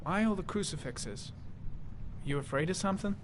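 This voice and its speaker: High-voiced protective police investigator. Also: male voice, lively intonation, normal speed, background noise. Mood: probing. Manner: questioning, firm, coaxing. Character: level-headed, protective male police investigator partner. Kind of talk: video game drama acting.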